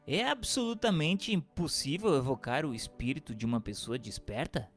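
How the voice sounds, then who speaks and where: A man reads questions and notes as scripted radio drama narration, in a formal, deliberate manner. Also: on the high side, expressive. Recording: long reverberant hall sound. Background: music.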